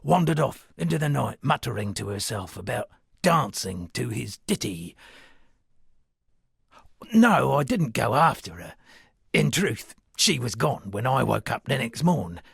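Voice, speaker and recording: Game role: eccentric old-world ship's captain with poetic pretensions, very creaky rasp, rambling florid speech, widely swooping intonation. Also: male voice, mid-range voice, big pitch swings, a little slow, a bit bright, dry recording, clean studio sound, full character voice. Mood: wry, musing. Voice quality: gravelly, husky. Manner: theatrical, rambling, florid old seafaring turns of phrase. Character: eccentric washed-up sea captain and would-be poet. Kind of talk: video game character dialogue.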